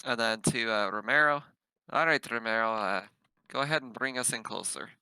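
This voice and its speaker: A man with a very sharp, clear voice plays a tabletop game in casual character talk. His pitch is medium and the sound is dry. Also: normal speed, dry recording, rough recording.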